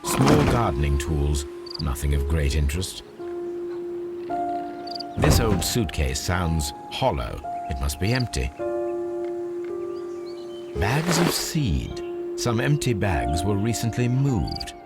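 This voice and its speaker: A man playing a keen, coolly analytical British gentleman detective in a video game, speaking precisely and formally. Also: low-pitched, sing-song, takes it slowly, pauses often, heavily acted. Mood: musing, analytical. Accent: British English. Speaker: video game lead character. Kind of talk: scripted game dialogue and musing aloud. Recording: background music under the voice.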